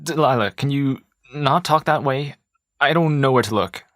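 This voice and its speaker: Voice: medium pitch, moderate pace, no echo, clean studio sound, natural voice. An actor playing a prim, easily embarrassed young person in a stage play. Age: young adult.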